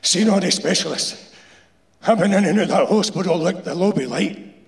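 A man's voice: high-pitched, normal speed, creaky, voice pushed up. A man voicing a bitter, dying shipyard insulator with a Glasgow accent, blunt and emphatic, in a live story reading. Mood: bitter, resigned. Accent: Scottish, Glasgow. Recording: echoey hall, live stage PA.

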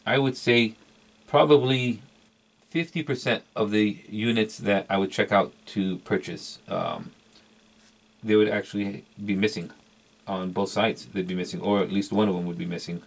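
A middle-aged man with a very low voice and plenty of gravelly fry talks through a product review off the cuff. He rambles a little, hesitating and doubling back on words for emphasis, in the casual way of a hobby collector. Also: unhurried. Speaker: vintage electronics collector and reviewer.

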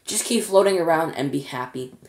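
A woman reading aloud and voicing an eccentric, excitable man who pilots a flying elevator. He is fussy, bossy and quick to exclaim. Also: sits low.

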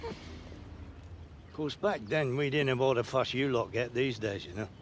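An actor playing a genial, nostalgic older English former footballer in a video game cutscene. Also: male voice, medium pitch, animated, a little slow, slight background noise, close to an everyday voice.

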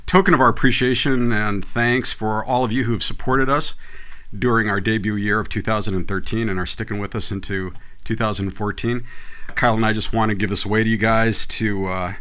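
A man hosting a casual software tutorial, talking through a screen recording in a relaxed, chatty way with many fillers. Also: on the low side, fairly quick, smooth. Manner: relaxed, chatty, frequent "uh" and "you know".

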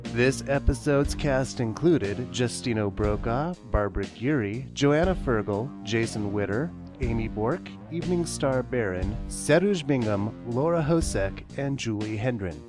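A man of average pitch presents scripted closing credits as the show's writer. Guitar music is clearly heard under his voice. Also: lively intonation, a little slow, hardly any pauses.

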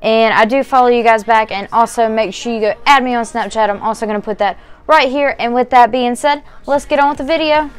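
A young woman making a casual reaction vlog at home, chatty and upbeat, muttering low while she watches, recorded on a lapel mic. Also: brisk. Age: young adult. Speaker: YouTube reaction vlogger. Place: bedroom at home, indoors.

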